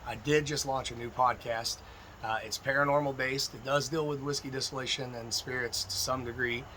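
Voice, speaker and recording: A man with a slightly froggy, hoarse voice gives informal, emphatic instruction as a distilling teacher talking to viewers. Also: medium pitch, steady tone, normal speed, bright, forceful tone, a little hiss or hum, rough recording. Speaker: distilling instructor and video host. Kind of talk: informal instructional talk to camera.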